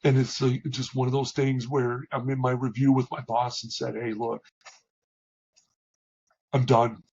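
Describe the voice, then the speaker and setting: A middle-aged man with a big booming voice, talking candidly as an interview guest, with many restarts and fillers. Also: little pitch movement, measured pace, darkish tone, highs cut off, a little hiss or hum. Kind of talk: reflective conversational interview.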